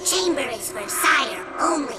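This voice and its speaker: High, slow little alien. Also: female voice, animated, over loud music. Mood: insistent. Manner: halting broken speech in short phrases. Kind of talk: video game creature acting.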